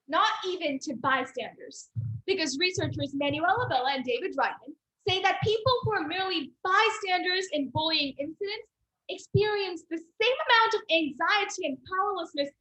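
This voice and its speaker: A woman's voice: very high-pitched, a bit bright, low-quality recording. A teenage girl from the United States delivering an earnest, emphatic persuasive speech in a contest. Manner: earnest, emphatic, builds to a dramatic close.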